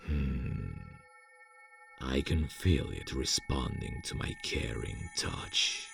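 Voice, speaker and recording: A seductive, possessive male devil for ASMR fantasy audio. The voice is extremely low and thick with gravel, unfolding at a slow, deliberate pace. He coaxes with formal courtesy, close on the mic. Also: expressive, a bit bright, soft background music, heavily acted. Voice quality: very deep, gravelly, husky. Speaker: voice actor. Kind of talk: ASMR fantasy roleplay acting. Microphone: close studio mic, dry.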